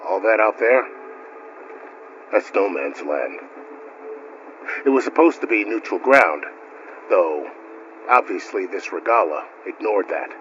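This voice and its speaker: An actor playing a weary but helpful frontier officer in a video game, explaining things gravely and steadily. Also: male voice, on the low side, animated, slow, pauses often, fairly bright tone, a little hiss or hum. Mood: grave, concerned. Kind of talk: naturalistic game dialogue. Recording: dull game audio with music.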